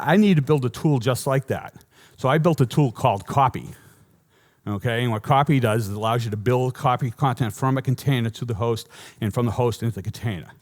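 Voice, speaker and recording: Male tech speaker, Boston accent, conversational and wry, mid-range voice, prone to restarts. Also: mid-range voice. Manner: lively, joking, rambling with self-corrections. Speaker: conference speaker. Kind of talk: technical conference talk. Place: conference hall before an audience.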